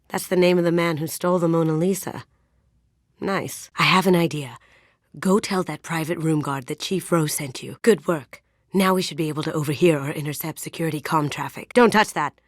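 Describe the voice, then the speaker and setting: A confident young female thief from a video game, speaks in a low feminine register. She is sly and playful, with a hushed, self-assured manner. The sound is dry, with no room on it. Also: normal speed, no echo. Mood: cool, focused, then sharp warning. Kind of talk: game character voice lines.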